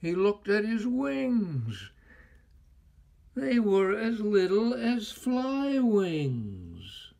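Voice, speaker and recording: An older man reading a children's story aloud as a storyteller, with theatrical, expressive and deliberate phrasing. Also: high voice, creaky.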